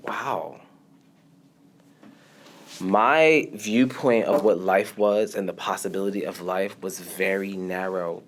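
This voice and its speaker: Animated low-voiced man telling his story. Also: slight background noise. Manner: animated storytelling, laughs often, trails off quietly. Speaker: podcast guest, musician. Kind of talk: casual interview chat.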